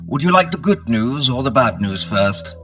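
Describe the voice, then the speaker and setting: A man playing a polite, formal butler in his sixties, deferential and measured, in an audio drama. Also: mid-range voice, very animated, a bit bright. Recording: faint music underneath.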